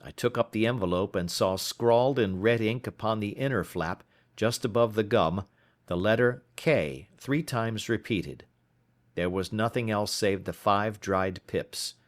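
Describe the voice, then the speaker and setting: A man reading a first-person literary story as an audiobook narrator, in a measured, formal storytelling manner. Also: lowish voice.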